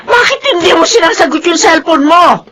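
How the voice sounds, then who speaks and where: A woman's voice: extremely high pitch, lively intonation, moderate pace, background noise. A woman acting a fretful, exasperated character in a Filipino radio drama. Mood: urgent, scolding.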